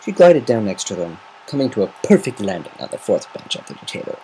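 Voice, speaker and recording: An amateur male reader narrates fan fiction in a low voice. His delivery is animated and varied, with some noise behind it. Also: brisk, poor audio. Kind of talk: semi-dramatic story narration.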